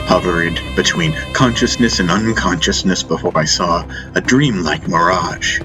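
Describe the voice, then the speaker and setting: A man reading a first-person fantasy audiobook as narrator, in a solemn, deliberate, dramatic style. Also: sits low, poor audio. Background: faint music.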